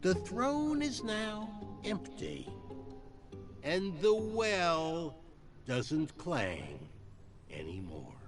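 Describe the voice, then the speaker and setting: An actor playing a theatrical storybook narrator, telling a dark fable in a deliberate, dramatic way. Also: male voice, high-pitched, sing-song, faint music behind, exaggerated voice. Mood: ominous storytelling. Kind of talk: theatrical scripted fairy-tale narration.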